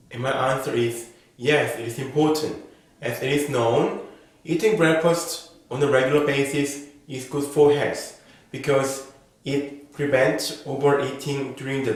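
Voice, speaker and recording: Slow, careful man in an echoey room. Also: mid-range voice. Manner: slow, careful, model-answer delivery. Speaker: IELTS speaking teacher. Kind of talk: reading sample exam answers as a lesson.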